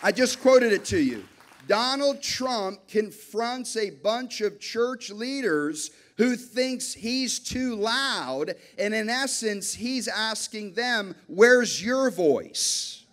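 A man in his thirties preaching a sermon on stage into a handheld mic, emphatic and deliberate, with dramatic pauses. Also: sits high, lively intonation, fairly bright tone. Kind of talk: sermon to a church congregation. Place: large dark church auditorium, on stage before an audience. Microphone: handheld microphone.

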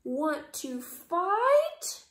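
A woman voicing a small, grouchy, pugnacious storybook ladybug with loud, blustering, drawn-out delivery. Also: extremely high pitch, sing-song, voice pushed up, exaggerated voice. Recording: roomy indoor echo.

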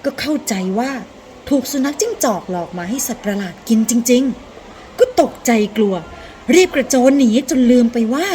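High-pitched, expressive woman storyteller. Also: moderate pace, slight background noise. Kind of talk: expressive scripted children's storytelling.

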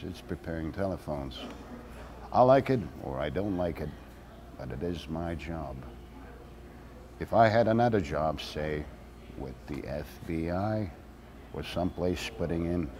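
A middle-aged man acting a theatrical monologue as a wry former activist with a grievance, speaking deliberately in short phrases with pauses. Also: sits low, warm tone. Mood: defensive. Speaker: actor reading a monologue. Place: indoor room with shelves of glassware.

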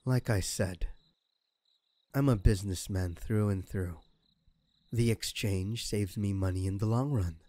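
A man's voice: lowish voice, a little slow, long pauses, warm tone, natural voice. A man playing a smugly menacing, vengeful crime villain in an audio roleplay, with a mocking, theatrical delivery. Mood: smug, courteous. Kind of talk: scripted ASMR audio roleplay.

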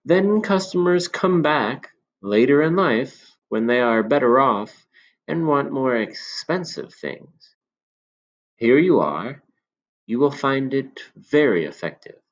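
A man voicing a sly elderly male potion seller who coaxes with smooth, insinuating persuasion. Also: mid-range voice, expressive, takes it slowly, highs cut off, voice pushed up. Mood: coaxing.

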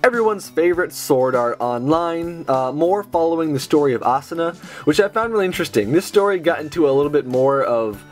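A man's voice: on the high side, lively intonation, brisk, faint music behind. A young man who is sick and has a sore throat, chatting casually with lots of fillers as he reviews his book haul indoors. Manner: chatty, enthusiastic, many fillers. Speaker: manga haul video host. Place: indoors at a table with books.

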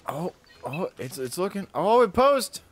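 A man's voice: high voice, big pitch swings, unhurried. A young man co-streaming a video game with casual, profane, wisecracking banter. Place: indoor streaming setup. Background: game music.

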